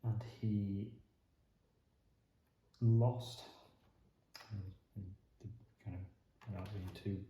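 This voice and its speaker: A man with a strong Yorkshire accent from Leeds, chatting in a relaxed, meandering way while doing a jigsaw puzzle at his dining table. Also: lowish voice, extremely slow, pauses often, a little hiss or hum. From northern England. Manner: meandering, thinking aloud, many ums. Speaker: jigsaw video host. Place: indoor dining room at a wooden table. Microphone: camera facing the table, speaker off frame. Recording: very echoey room.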